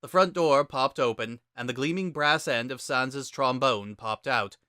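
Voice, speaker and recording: A man giving a measured, dramatic narration of a scripted story. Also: mid-range voice, pushed and bright, clean tone, dry recording, studio quality.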